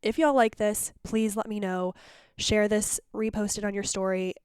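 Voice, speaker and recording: A woman's voice: mid-range voice, flat delivery, normal speed, darkish tone, clear, resonant tone, no echo, clean studio sound. A woman hosting her podcast in a casual, chatty solo monologue, sounding congested and phlegmy. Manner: chatty and candid, with restarts. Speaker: podcast host.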